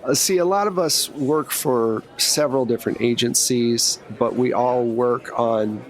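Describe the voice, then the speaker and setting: A man's voice: medium pitch, a little slow, close to an everyday voice. Tabletop role: eccentric male fed, chatty, offhand, dryly self-aware, played by a man. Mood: offhand, wry. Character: talkative, laid-back, eccentric male government agent.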